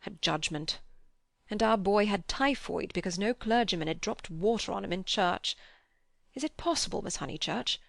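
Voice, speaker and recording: A woman voicing an old grieving father who speaks gently and slowly. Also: mid-range voice, expressive, creaky, dry recording, clean studio sound. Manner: slow, quiet, heavy.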